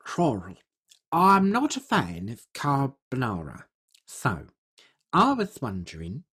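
A podcast co-host chatting casually and comically in a hesitant, rambling way, with a husky voice. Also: mid-range voice, a bit bright.

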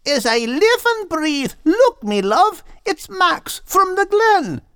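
Very high, excitable seagull. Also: male voice, slow, no echo, studio quality, exaggerated voice. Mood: excited. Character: excitable, affectionate male seagull in an animal adventure.

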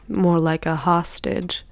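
Woman acting slow, low-voiced, bitter bedridden housewife. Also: flat delivery, vocal fry, exaggerated voice. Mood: sardonic. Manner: slow, drawling, sardonic. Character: bitter, forgetful bedridden housewife on sedatives, sardonic and imperious. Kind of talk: dramatic audio drama acting.

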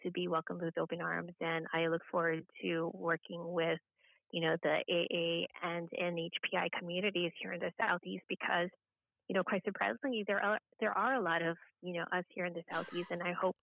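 Gravelly, low-key American woman guest. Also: level tone, no echo. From Hawaii, United States. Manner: halting, with frequent "you know". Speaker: podcast guest, government official. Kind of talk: conversational interview introduction. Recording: slightly dull, lo-fi audio.

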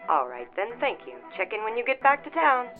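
Woman playing a brisk 1920s newsroom woman, tinny line. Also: on the high side, very animated, soft background music. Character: brisk, businesslike 1920s newspaper office woman. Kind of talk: audio drama acting.

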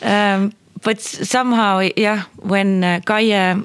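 A woman chatting casually and thoughtfully, often pausing to search for words. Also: medium pitch, fairly even intonation, dry recording. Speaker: performance maker, guest. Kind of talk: casual conversation.

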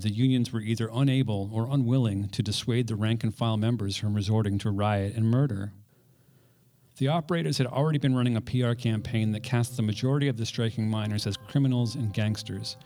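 A man's voice: medium pitch, mellow. Man narrating a history podcast over music.

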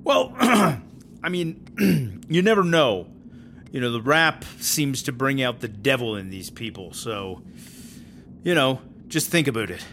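A man playing a middle-aged, folksy prison warden who talks haltingly, clearing his throat in an audio drama interview. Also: medium pitch, very animated, fairly bright tone, slight background noise. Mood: awkward, cautioning. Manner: hesitant, halting, full of ums and throat-clearing. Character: aging, folksy, awkward male prison warden.

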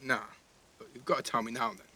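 A man's voice: medium pitch, fairly even intonation, fast, bright, forceful tone, slightly rough. An actor playing a cheeky, blunt teenage boy with an English accent, teasing and slangy in a naturalistic audio drama. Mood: wry, probing.

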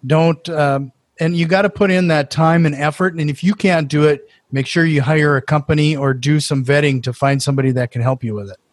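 A man's voice: on the high side, fairly even intonation, a little fast, no room sound. A man with a Norwegian accent hosting a casual podcast interview, enthusiastic and full of restarts. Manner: enthusiastic, many restarts and fillers. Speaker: podcast host.

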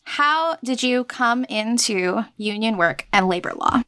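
A woman's voice: fairly high pitch, expressive, measured pace, bright, forceful tone, no echo, studio quality. A woman hosting an interview, asking prepared questions in an articulate, courteous way. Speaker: interview host.

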